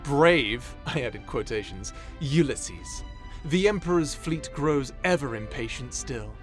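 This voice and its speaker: A man's voice: medium pitch, animated, slow, faint music behind. A man playing a snarky, blunt, irreverent space adventurer in an audio roleplay drama. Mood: mocking. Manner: sarcastic, blunt, casual wording.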